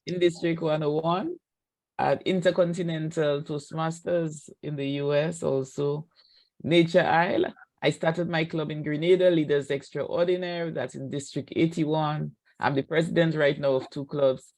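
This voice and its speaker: A woman's voice: sits low, steady tone, moderate pace, darkish tone, no room sound. A woman from Dominica introducing herself eagerly as a guest on a video call. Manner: enthusiastic, talks about herself. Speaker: meeting guest.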